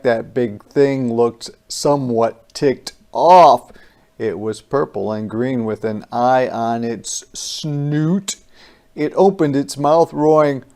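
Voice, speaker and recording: A storybook reader with a very slow, deliberate delivery. The voice is creaky and mellow, giving a rhyming children's tale theatrical phrasing. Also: mid-range voice. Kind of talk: dramatic rhyming children's read-aloud.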